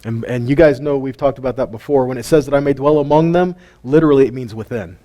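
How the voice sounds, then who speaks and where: A man's voice: mid-range voice, fast talker, dark tone. A middle-aged man teaches scripture in a folksy way, asking his listeners questions and chuckling often. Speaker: Bible teacher. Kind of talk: casual Bible teaching.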